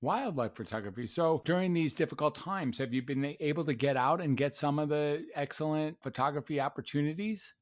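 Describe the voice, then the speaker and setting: A man's voice: on the high side, fairly quick, few pauses. A man hosting a podcast, chatting casually and affirming his guest with frequent "mm-hmm"s. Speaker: podcast host and psychiatrist. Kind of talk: casual interview chat.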